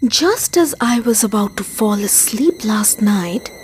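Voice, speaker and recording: A woman storyteller voicing a village midwife who recounts an eerie encounter in measured, suspenseful folktale style. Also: mid-range voice, expressive, a little mellow, slight background noise, lightly acted. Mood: hushed, uneasy.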